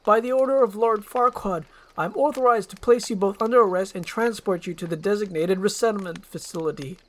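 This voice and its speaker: A man's voice: sits high, fairly quick, pitched above the performer's own voice, close to an everyday voice. A man voicing a bossy, impatient head guard with a curt, barking manner in a storybook reading. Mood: commanding.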